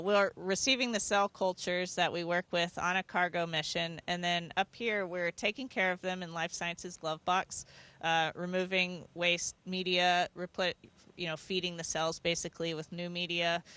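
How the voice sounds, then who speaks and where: A woman's voice: lowish voice, pushed and bright. A woman astronaut speaking from aboard a space station, giving thoughtful, earnest, explanatory answers in a conversational interview.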